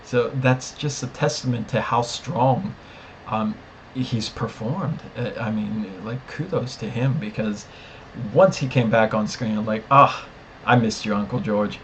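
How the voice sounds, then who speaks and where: A man's voice: mid-range voice, measured pace, a bit bright, a little hiss or hum, low-quality recording. A man co-hosting a casual TV review podcast, speaking emphatically and repeating words for stress. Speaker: podcast co-host discussing a TV series.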